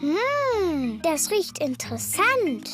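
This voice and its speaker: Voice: very high-pitched, big pitch swings, takes it slowly, full character voice. A performer voicing a cheerful, bossy little girl piglet in a cartoon, eager and childlike, with music behind the voice. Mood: eager, playful.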